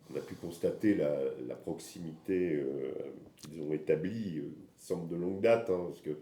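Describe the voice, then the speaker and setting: A man's voice: low-pitched, dark tone. A man interviewing a police director, asking questions hesitantly and searching for words. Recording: echoey room with faint background noise.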